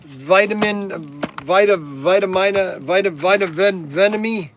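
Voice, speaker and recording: A man in his sixties chats casually as the host of a livestream. His voice sits a little high for a man and he takes his time, speaking slowly. He rambles in a halting way, circling back over phrases and stumbling on words. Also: noisy background. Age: 60s. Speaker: livestream host selling hobby toys and model cars.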